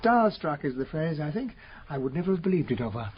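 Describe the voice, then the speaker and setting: An actor playing a genial, slightly baffled captain in a radio drama, polite and chatty. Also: male voice, a little high, sing-song, quick pace. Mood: curious. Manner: polite, chatty, mildly bumbling. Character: genial, slightly baffled English captain, detective's gentlemanly companion. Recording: dull radio audio with clear noise.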